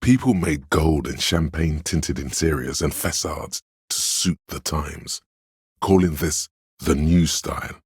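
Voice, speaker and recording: A man voicing an inner encyclopedia for a video game, a grave, detached, erudite narrator who states facts in a measured, deliberate way. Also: a bass voice, husky, dry recording, clean studio sound, heavily acted. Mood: grave, expository.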